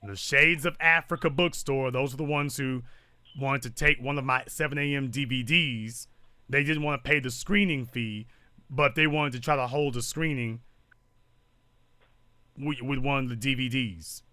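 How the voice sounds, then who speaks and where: A man hosts a live call-in show in a bright, forceful voice. He is blunt and lectures as he talks. The sound is very dry, with little room around him. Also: medium pitch, moderate pace, dry recording.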